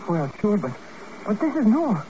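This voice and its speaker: A jittery, none-too-bright male sidekick from a vintage radio drama. His high voice swings wildly as he asks puzzled, folksy questions. The recording is old, dull and noisy. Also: very animated, normal speed. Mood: nervous, puzzled. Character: jittery, slow-witted male sidekick of an American agent. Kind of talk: 1950s radio drama acting.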